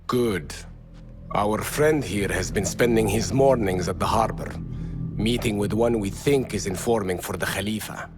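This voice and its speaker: An actor voicing a fierce rebel leader who speaks forcefully and curtly. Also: male voice, fairly low pitch, measured pace, background noise, lightly acted. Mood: commanding. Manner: forceful, curt.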